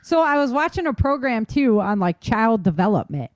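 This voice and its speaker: A woman's voice: on the high side, sing-song, moderate pace, dry recording. Emphatic woman podcaster, dry close sound. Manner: emphatic, opinionated. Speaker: podcast co-host. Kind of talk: casual conversational podcast chat.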